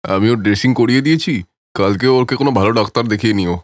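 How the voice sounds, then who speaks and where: A man's voice: mid-range voice, fast, dry recording, clean studio sound, natural voice. A man playing a blunt, old-fashioned village eatery owner in a cartoon story. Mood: matter-of-fact.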